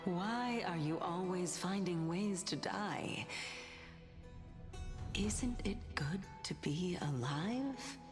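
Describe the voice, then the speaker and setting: An actress playing a gentle, earnest young woman in a video game, asking soft, concerned questions. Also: fairly low pitch, expressive, very slow. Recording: game audio with reverb under music. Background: music.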